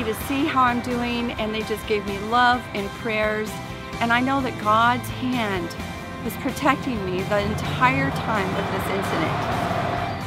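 A woman who is a real estate agent telling her own survival story in a heartfelt, earnest way. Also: fairly high pitch. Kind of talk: personal testimony. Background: loud background music.

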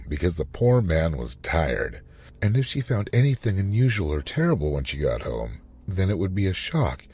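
A man reading a short story as a dramatic, expressive narrator. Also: deep voice, husky.

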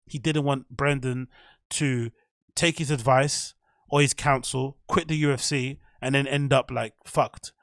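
A man talks through his theory in a loose, meandering way, often correcting himself as he goes. His voice has a gravelly edge. The sound is dry and close, with no room around it. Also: medium pitch, fairly even intonation, a little slow, a bit bright, no echo. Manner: rambling, self-correcting, opinionated. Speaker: podcast host. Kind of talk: casual commentary monologue.

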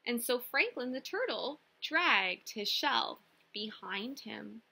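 A young woman reads a children's storybook in a bright voice. Her delivery is slow and deliberate, with very animated intonation and clear teacherly emphasis. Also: on the high side. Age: young adult. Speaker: teacher reading a picture book. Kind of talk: expressive storybook read-aloud for children. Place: small indoor room with classroom wall displays.

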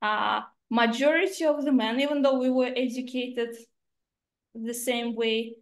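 A woman in her thirties with a Russian accent, speaking as a guest investor in a remote interview, with earnest, slightly halting phrasing. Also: fairly high pitch, fairly even intonation. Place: home office indoors. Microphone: laptop camera on video call. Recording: roomy video-call audio.

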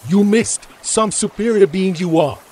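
A man's voice: sits high, unhurried, dark tone, slight background noise, voice pushed up. A man voicing a chubby, defiant boy in a fantasy audiobook, blustery, complaining and sarcastic. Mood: indignant. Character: defiant, grumbling, chubby boy with a cheeky temper.

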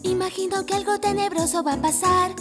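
A woman's voice: very high-pitched, steady tone, brisk. A woman voicing a fearful little girl, singing in the style of a children's cartoon musical. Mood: anxious, wistful. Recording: song with prominent backing music.

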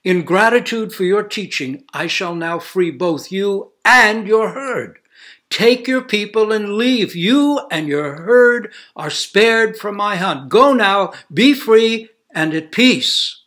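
A man's voice: sits high, lively intonation, slow pace, pitched above the performer's own voice, full character voice. A man voicing a proud, commanding human king in a storybook fable, speaking with raised force. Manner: loud, raised delivery.

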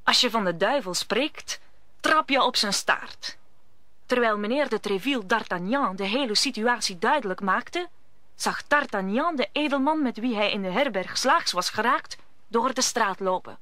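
A woman's voice: a little high, lively intonation, normal speed, sharp tone, no room sound. A woman narrating an audio drama in a scripted storytelling style.

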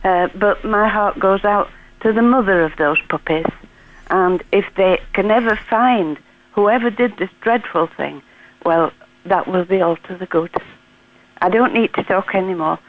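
A woman's voice: medium pitch, measured pace, narrow, tinny audio. An older woman calling a radio phone-in over the phone, earnest and sympathetic, with hesitant fillers.

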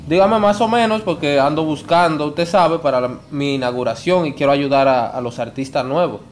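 A man's voice: fairly high pitch, moderate pace, a little hiss or hum. A man in his twenties with a Dominican accent makes a prank phone call, posing as a show promoter in a pleading, insistent way. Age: 20s. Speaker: prank caller.

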